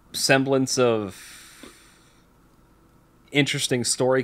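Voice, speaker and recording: A man speaks as a podcast host in an easy conversational style, with a middling pitch. He is candid and rambling, often breaking into his own sentences to rephrase them. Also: a bit bright. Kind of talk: casual podcast chat.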